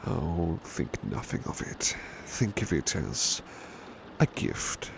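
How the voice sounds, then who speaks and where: A man playing a devoted, gentle British butler in a soft-spoken ASMR roleplay, courteous and formal, often slipping into a breathy whisper. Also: full character voice. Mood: kindly, reassuring. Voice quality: very deep, gravelly, breathy whispery edge. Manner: gentle, courteous, old-fashioned formal phrasing. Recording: clear background noise, ambient rain sound. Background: rain ambience.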